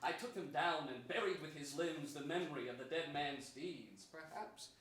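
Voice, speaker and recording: A young man playing a gentle, melancholy captive king, declaiming earnest Shakespearean verse in a staged reading. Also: fairly high pitch, normal speed, lightly acted. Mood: sorrowful, gentle. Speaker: stage actor. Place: large indoor theater stage before an audience. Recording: echoey theater, distant microphone, some noise.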